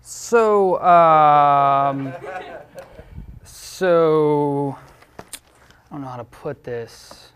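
A man's voice: a little high, extremely slow, pauses often, a bit bright. A young man performing in a student sketch, stammering nervously as an awkward classmate. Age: young adult. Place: studio stage.

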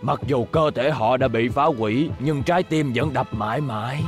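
An actor voicing hot-tempered rival men in a dubbed cartoon, in a dramatic, declamatory manner. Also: male voice, mid-range voice, normal speed, music in the background, lightly acted. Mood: sorrowful. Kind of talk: animated cartoon dub, Vietnamese dialogue.

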